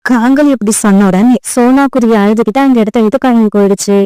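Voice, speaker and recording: A woman's voice: fairly high pitch, quick pace, warm tone, clean tone, no echo, poor audio. A woman narrating a scripted children's story.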